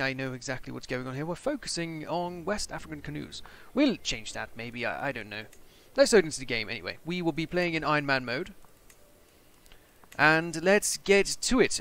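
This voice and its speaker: Gravelly-voiced man narrating a strategy game. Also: fairly high pitch, pushed and bright. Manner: chatty, thinking aloud, joking. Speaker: gaming channel host. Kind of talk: casual strategy game let's play commentary.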